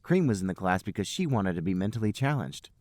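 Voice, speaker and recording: A man's voice: on the low side, sing-song, fast, darkish tone, no echo. A man hosting a podcast, reading comedic narration aloud. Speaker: podcast host and reader.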